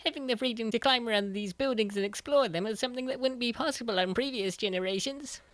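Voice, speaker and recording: Woman acting a high-voiced, sensible gamer girl. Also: quick pace, dry recording, lightly acted. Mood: reasoning, encouraging. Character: sensible, patient young female gamer, gently reasoning. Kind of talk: comic dub voice acting.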